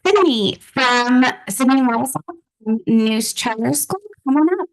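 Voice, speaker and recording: A middle-aged woman with a slightly high voice introduces students at a public board meeting. She speaks in an encouraging way and pauses on fillers as she goes. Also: lively intonation, poor audio.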